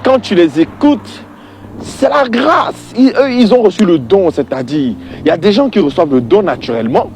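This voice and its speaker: A man's voice: high voice, very animated, moderate pace, a little mellow, a little hiss or hum. A man giving an informal religious opinion monologue, exasperated and emphatic, repeating points and addressing the listener directly.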